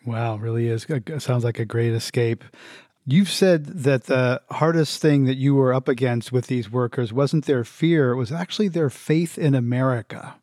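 A man's voice: mid-range voice, moderate pace, a little mellow, no room sound, studio quality. A man hosting an interview program, asking questions and reading scripted links. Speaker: radio show or podcast host.

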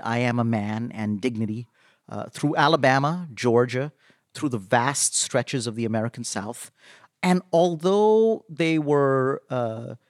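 A man recounting a story as an interview guest, speaking in a measured, halting way with pauses. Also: mid-range voice, no echo, studio quality. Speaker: interview guest, labor organizer.

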